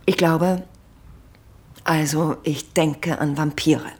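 A woman playing an earnest, analytical investigator in a radio drama, deliberate and husky. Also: sits low, steady tone, darkish tone. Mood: sheepish, insistent. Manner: deliberate, analytical, hesitant before bold claims. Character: earnest female investigator explaining far-fetched theories. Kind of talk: modern German radio drama acting.

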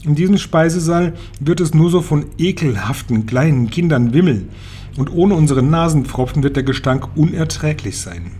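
A man's voice: a little high, expressive, moderate pace, warm tone, a little hiss or hum, voice pushed up, natural voice. A man voicing an imperious, venomous female witch leader who declaims her orders with contempt in a storybook reading. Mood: bossy, scornful.

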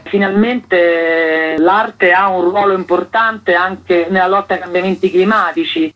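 A woman speaking as an interview guest, explaining things in articulate, flowing sentences. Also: fairly low pitch. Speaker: arts festival director, interview guest. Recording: dull, band-limited phone-in sound.

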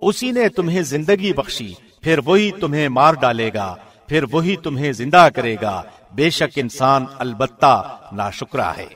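A man reads a scripture translation from a script like a radio presenter, formal and measured, with clear phrasing. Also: medium pitch, animated, a bit bright.

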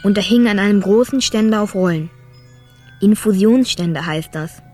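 A woman's voice: mid-range voice, normal speed, darkish tone, faint music behind, close to an everyday voice. A woman playing an Afghan boy who narrates his illness simply and plainly in a radio play. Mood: matter-of-fact, resigned. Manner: simple, matter-of-fact.

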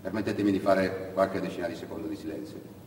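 A man's voice: low-pitched, fairly even intonation, darkish tone. Theatrical male storyteller in echoing hall. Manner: dramatic, with deliberate pauses and swells. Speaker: stage actor and narrator. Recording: echoey hall, distant mic, faint noise.

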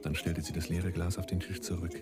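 An actor playing a wry, unflappable smuggler and husband in a German radio play, dry and mocking. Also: male voice, low voice, flat delivery, fairly quick, over loud music, close to an everyday voice. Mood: cool narrating.

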